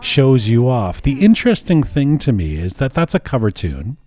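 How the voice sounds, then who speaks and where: A man's voice: medium pitch, very animated, normal speed, dark tone, no room sound, studio quality. A man hosting an interview podcast, musing aloud with many restarts and false starts. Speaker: podcast host.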